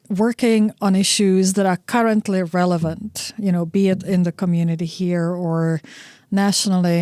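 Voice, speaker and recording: A middle-aged woman from the Czech Republic, a research guest answering interview questions in a thoughtful, measured way. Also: mid-range voice, a little mellow, no echo, clean studio sound. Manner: thoughtful, measured, with frequent "you know" fillers.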